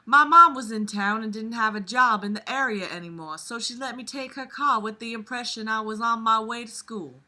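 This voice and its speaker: A woman plays a Southern Belle storyteller with a Southern US accent. The voice is very bright and sharp, and the storybook narration is theatrical. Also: a little high, normal speed, few pauses. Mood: drawling, storytelling.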